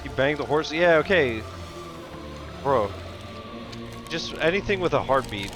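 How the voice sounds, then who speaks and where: Male streamer, higher-pitched voice, slangy joking reactions, casual delivery, game music behind. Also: animated, a little slow. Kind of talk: casual livestream reaction chat.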